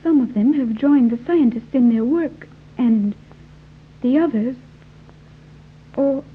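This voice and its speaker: An actress playing an earnest young island guide in a 1930s radio serial, speaking in precise, formal diction. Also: female voice, high-pitched, slow pace, breathy edge, exaggerated voice. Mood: earnest explaining. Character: earnest young island woman guiding captive visitors. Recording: tinny, noisy old 1930s radio recording.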